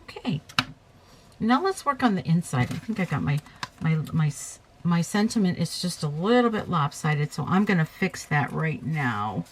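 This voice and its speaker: A woman's voice: deep voice, sing-song, normal speed, slight background noise. Chatty woman demonstrating paper crafts. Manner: chatty, talks while working, self-correcting. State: slightly hoarse, coming down with a cold. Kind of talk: casual crafting tutorial.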